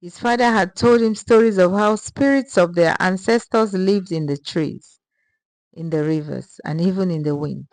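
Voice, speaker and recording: A woman narrating a scripted folktale in a measured, dramatic storytelling manner. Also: lowish voice, darkish tone, dry recording, low-quality recording.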